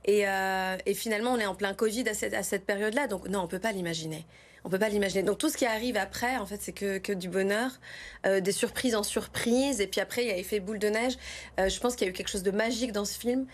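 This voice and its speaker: A woman's voice: mid-range voice, fast. Enthusiastic woman giving interview answers. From Lyon region, France. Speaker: interview guest, film director.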